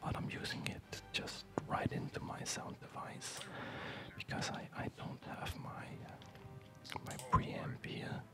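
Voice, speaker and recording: A man whispers breathily and haltingly in an unhurried ASMR chat while playing a game, close to a studio microphone at home. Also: loud music behind the voice. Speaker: ASMR gamer. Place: quiet home at night. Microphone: close studio condenser microphone.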